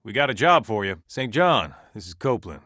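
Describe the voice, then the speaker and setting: A man's voice: fairly low pitch, very animated, fairly quick, pushed and bright, no echo, clean studio sound, full character voice. A man acting a folksy, commanding survivor camp leader in a video game, speaking plainly and naturalistically. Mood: businesslike, calling out.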